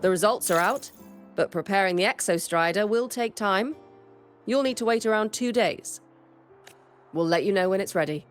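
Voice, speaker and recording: A woman's voice: medium pitch, moderate pace, soft background music, natural voice. A woman playing a stern, dry, world-weary former teacher in a game, speaking in a composed, matter-of-fact way. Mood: composed, serious. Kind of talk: scripted game dialogue.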